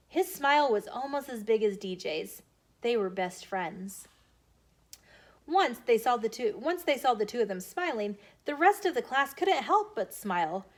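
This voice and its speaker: An American woman reading a children's storybook aloud in an expressive, animated way as a storytime host. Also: medium pitch. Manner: animated, emphatic character dialogue.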